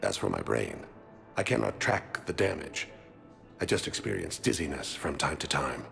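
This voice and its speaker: Very deep, gravelly alien, calm and ailing. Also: male voice, soft background music, exaggerated voice. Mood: solemn, resigned. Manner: measured, formal, without contractions. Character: ailing alien man, calm, solemn, resigned to dying. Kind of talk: video game voice acting.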